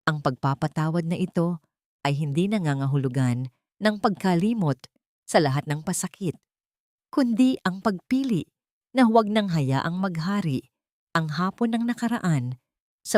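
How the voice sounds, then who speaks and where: Low-pitched woman narrating a sentimental story. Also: mellow, no room sound, studio quality. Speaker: narrator of audio drama stories. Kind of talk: scripted dramatic story narration.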